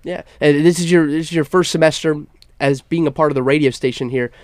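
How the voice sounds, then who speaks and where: A man's voice: on the high side, fairly even intonation, a little fast, no room sound. A young man runs a college sports talk radio show, his nose a little stuffed up. He keeps an upbeat broadcast patter and often trips over his words and restarts. The sound is dry and close, with no room around it. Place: campus radio studio.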